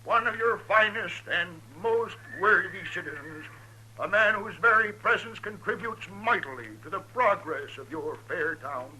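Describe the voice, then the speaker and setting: An actor playing a bombastic, smooth-talking quack professor with a grandiose oratorical sales pitch in a 1950s radio western. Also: male voice, expressive, moderate pace, sharp tone, exaggerated voice. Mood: solemn, florid. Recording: noisy old radio show recording.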